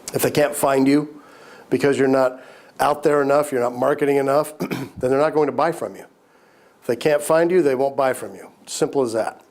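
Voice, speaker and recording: A man teaching a business course, talking conversationally while getting over a cold, his voice a little raspy, often clearing his throat and repeating key points. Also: medium pitch, darkish tone. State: recovering from a cold, slightly raspy. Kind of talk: recorded lecture to entrepreneurs.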